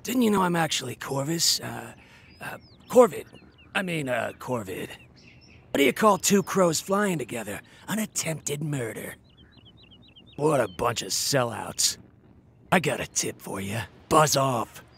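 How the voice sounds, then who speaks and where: Raspy wry huntsman for a video game, really gravelly, cynical. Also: mid-range voice, very animated, unhurried, a bit bright, full character voice. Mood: wry, deadpan.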